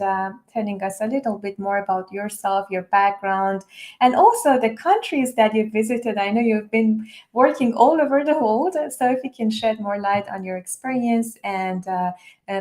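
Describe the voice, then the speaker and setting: A woman's voice: mid-range voice, darkish tone. A woman hosting a live online broadcast, greeting and introducing people in a welcoming, thankful manner.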